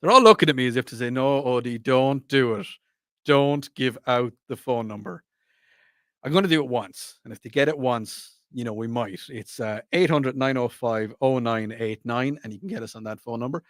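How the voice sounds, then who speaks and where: A man hosts a radio chat show in a playful, rambling, teasing way. His voice sits at a middle pitch. The studio sound is dry and close. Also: normal speed, fairly bright tone, dry recording. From Ireland. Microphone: studio microphone.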